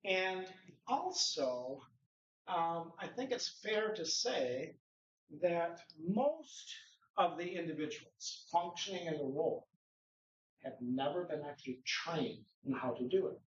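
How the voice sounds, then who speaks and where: Conference speaker: man, slightly high voice, posing rhetorical questions, picked up by a distant mic in a roomy hall. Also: expressive, slow, a little hiss or hum. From Norway. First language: Norwegian. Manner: conversational lecturing with rhetorical questions. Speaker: conference presenter. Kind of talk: conference presentation. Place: conference room. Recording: echoey room, distant mic, dull sound.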